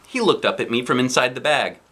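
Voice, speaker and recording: A man reading a children's book aloud as an expressive dad, with dramatic emphasis. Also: medium pitch, fairly quick. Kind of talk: animated storybook narration.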